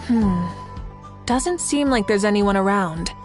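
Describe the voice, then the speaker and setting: A woman's voice: medium pitch, expressive, slow pace, over music. A woman playing a confident, caring young female leader in a video game, speaking in a composed and assured manner. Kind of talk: video game character acting.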